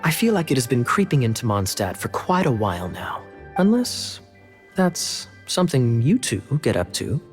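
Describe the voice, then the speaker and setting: A man playing a suave, sly young knight in a video game, speaking in a smooth, wry, teasing and theatrical manner. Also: mid-range voice, very animated, moderate pace, natural voice. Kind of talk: video game character voice acting. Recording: faint game music behind.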